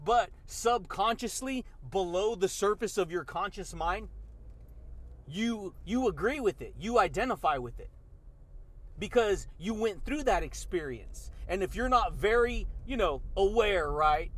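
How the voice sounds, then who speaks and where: A man delivers a fired-up motivational talk, his voice pitched high for a man. He talks in a slangy, hyped way, throwing in dude and baby as he addresses the listener. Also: lively intonation, measured pace, a bit bright. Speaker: motivational podcast host. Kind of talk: energetic motivational monologue.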